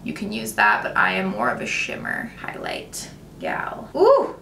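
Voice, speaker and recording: A young woman, talking through a makeup tutorial in a chatty, gushing way, her voice a little raspy today. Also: a bass voice, huge pitch swings, measured pace, a bit bright, roomy sound, far from the mic, slight background noise. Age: young adult. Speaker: beauty vlogger. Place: bright home room with makeup shelves. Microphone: camera at close framing.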